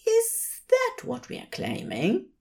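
A woman's voice: very high-pitched, wildly swooping pitch, takes it slowly, gravelly, a little mellow, voice pushed up, exaggerated voice. A woman voicing a fussy, tender male angel who is earnest and adoring, with a fervent, dreamy delivery. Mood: playfully indignant.

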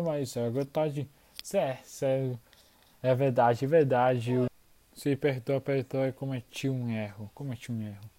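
A performer voicing a 27-year-old engineer from Recife who speaks in a low, subdued way and keeps repeating phrases. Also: mellow, natural voice. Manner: low, subdued, apologetic, repetitive.

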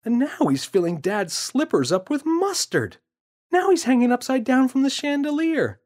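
A high, pleading storybook boy, worked up and desperate to be believed, as a grown man acts him out. His voice climbs and jumps, bright and insistent, as he reports every misdeed. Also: big pitch swings, normal speed. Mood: urgent, insistent. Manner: urgent, high, exasperated. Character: frustrated young boy insisting he is right.

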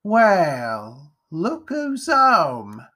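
A man's voice: high-pitched, wildly swooping pitch, very slow, sharp tone, pitched above the performer's own voice, exaggerated voice. A man voicing a sly, menacing slum mother with a mocking drawl in an audiobook. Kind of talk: audiobook character voice.

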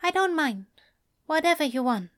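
A woman voicing an anxious, earnest male angel with a hesitant, halting delivery in an audiobook-style story. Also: sits high, very animated, fairly bright tone, voice pushed up.

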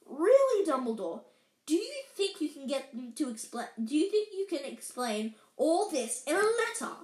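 A woman's voice: high-pitched, sing-song, moderate pace, a little mellow, pitched above the performer's own voice. A woman voicing a stern, anxious elderly woman professor who stammers and restarts in tearful, breathless outbursts. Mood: indignant. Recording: roomy echo.

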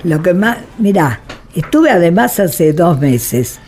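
A woman of eighty-eight with an Argentine accent, reminiscing in a digressive, halting way as an interview guest. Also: fairly low pitch, sing-song, darkish tone, breathy edge. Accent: Argentine Spanish. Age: 88 years old. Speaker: interview guest, president of a human rights association.

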